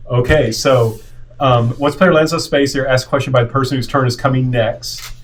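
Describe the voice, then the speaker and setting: A man's voice: medium pitch, fairly even intonation, normal speed. A man running a board game session, casually explaining the rules as he goes. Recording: noisy room with clicks and game-piece handling.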